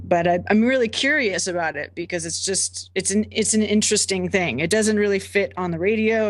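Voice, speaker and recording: A woman's voice: on the low side, no room sound. A woman who teaches music, giving a thoughtful, hesitant review on a podcast with many ums.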